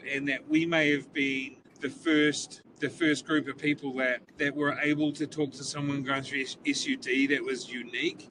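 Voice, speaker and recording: A man in his forties from New Zealand with a New Zealand accent, a police chief talking earnestly in a casual interview and repeating words as he thinks, recorded inside a police cruiser. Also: medium pitch. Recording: distant mic, vehicle noise.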